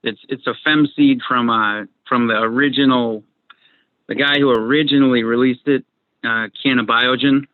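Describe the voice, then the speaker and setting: A man's voice: medium pitch, fairly even intonation, moderate pace, no room sound. A young man from Massachusetts with a Massachusetts accent, chatting casually as a guest over a video call, rambling and repeating his words. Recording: dry tinny video call audio.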